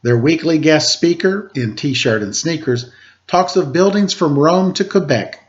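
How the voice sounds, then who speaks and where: A man with a slightly high voice hosts a storytime for children. He speaks as a reader and casual talker to kids, and the recording sounds a little dull. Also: expressive, unhurried. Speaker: storytime host reading to children. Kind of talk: children's story read-aloud and casual chat.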